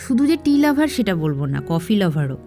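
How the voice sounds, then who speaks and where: A woman's voice: medium pitch, big pitch swings, fast, mellow. A young woman vlogger speaks with a West Bengali accent over faint music. She talks in a familiar, chatty way, as if to friends, and drops English words into her everyday narration. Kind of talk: casual daily vlog narration addressed to viewers.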